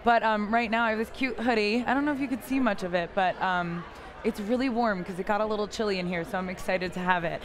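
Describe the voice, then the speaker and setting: A woman in her thirties hosting a live interview at a convention booth, chatty, bubbly and loud, on a lapel mic. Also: medium pitch, quick pace, slight background noise. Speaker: host. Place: booth at a busy convention hall.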